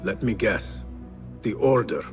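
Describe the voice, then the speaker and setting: An actor playing an earnest, reflective young assassin in a video game, speaking in a measured way. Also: male voice, lowish voice, lively intonation, faint music behind. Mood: wry. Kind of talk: cinematic video game acting.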